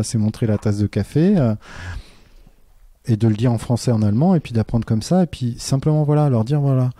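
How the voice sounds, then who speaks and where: A man's voice: medium pitch, warm tone, dry recording. A man, a teacher, talking earnestly in a casual interview, with many fillers and restarts. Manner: earnest and reflective.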